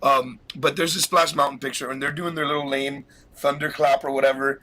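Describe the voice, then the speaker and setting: Bright-voiced excited male podcast host. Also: medium pitch, fairly quick. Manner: excited, rambling, laughs often. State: has a cold. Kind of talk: casual live podcast chat.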